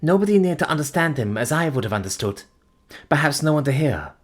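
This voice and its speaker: A man's voice: medium pitch, expressive, fast talker, slightly rough, voice pushed up. Give me a man voicing a grieving, desperate young woman. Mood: anguished. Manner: pleading, breathless, trembling intensity.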